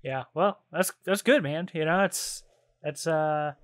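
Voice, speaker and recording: A man's voice: on the high side, measured pace. A man co-hosting a casual podcast, chatting in a hesitant, rambling and jokey way. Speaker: podcast co-host. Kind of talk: casual chat about games and films.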